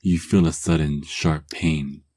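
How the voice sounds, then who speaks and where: Very deep, husky male narrator. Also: slow. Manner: calm, drifting into hushed whispers. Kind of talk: second-person ASMR story narration.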